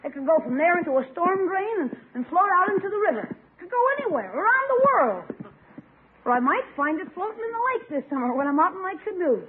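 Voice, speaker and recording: Wanted: an anxious, wheedling schoolboy for classic radio comedy, youthful and very animated, muffled vintage sound. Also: extremely high pitch, fast talker, a little hiss or hum. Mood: sly musing. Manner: expressive, folksy exclamations. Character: scheming young schoolboy, anxious about camp, wheedling. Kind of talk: old-time radio comedy acting.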